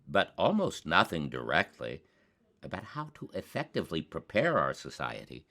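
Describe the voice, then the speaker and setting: A man presenting his own program in a deliberate, formal, bookish way, with emphatic pauses in a measured monologue. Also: a bass voice, huge pitch swings, bright, forceful tone.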